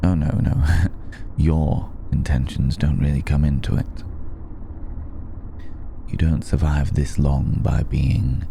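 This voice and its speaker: Man playing a very deep, languid vampire. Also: little pitch movement, a little hiss or hum, exaggerated voice. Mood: amused, matter-of-fact. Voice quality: gravelly, dark low voice. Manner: languid, hesitant pauses, refined and wry. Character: suave, worldly old male vampire, amused and courtly. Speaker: voice actor in audio roleplay. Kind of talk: intimate ASMR roleplay, slow and conversational.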